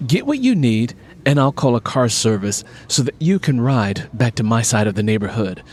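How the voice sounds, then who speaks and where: A proud, sharp-tongued woman of the city in an audiobook story, performed by a man. The voice is assertive and sassy, husky, with very lively swings in pitch. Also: moderate pace. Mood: considerate.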